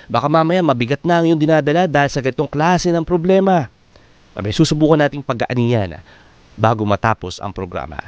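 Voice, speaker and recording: A Filipino man hosts radio talk with a clear, resonant voice, pitched a little high for a man. He coaxes listeners in an intimate, conversational way. The sound is close and dry. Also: expressive, brisk, no room sound, highs cut off. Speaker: radio host of late-night relationship advice show. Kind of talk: conversational live radio talk in Tagalog-English.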